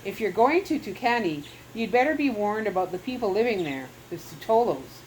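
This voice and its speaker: An anxious missionary man on a remote island, urgent and full of warnings, as a woman reader puts him on. He is voiced lightly and sits a bit higher than the reader's usual talk. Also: animated, a little fast, a little hiss or hum, poor audio.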